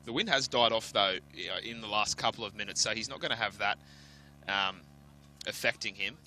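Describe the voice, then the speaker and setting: A man's voice: lowish voice, a little fast, pushed and bright, a little hiss or hum, rough recording. A man giving chatty, analytical colour commentary at an outdoor rugby league match. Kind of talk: live sports commentary and analysis.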